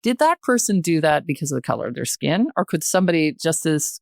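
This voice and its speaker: An older woman chatting as a podcast guest, telling a story in a halting, thoughtful way. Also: deep voice, very animated, creaky, no echo, studio quality. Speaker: podcast guest, retired journalist and producer. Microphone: close podcast microphone.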